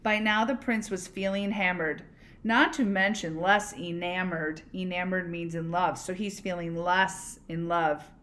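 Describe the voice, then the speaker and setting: A woman reading a picture book aloud expressively, pausing to explain words and ask questions. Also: lowish voice, steady tone, a bit bright. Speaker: read-aloud storyteller, teacher.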